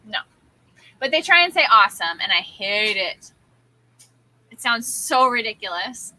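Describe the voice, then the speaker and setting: A woman's voice: fairly high pitch, big pitch swings, normal speed, bright, forceful tone, low-quality recording. A woman co-hosting a casual late-night livestream chat, frank and opinionated, often giggling. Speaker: livestream co-host.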